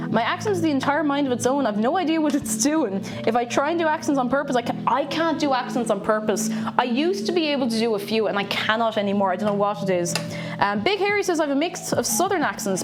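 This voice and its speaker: A young Irish woman with a Tipperary accent that carries a touch of Dublin chats warmly to her live chat, laughing easily and perking up when she greets people. Her voice sits a little high. Stream music plays clearly behind her, and her voice fades now and then as she steps away from the mic. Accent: Irish, from Tipperary with some Dublin. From Ireland. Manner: chatty, laughs often, greets chat with bursts of excitement. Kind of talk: casual chat while reading live chat and cooking. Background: background music playing.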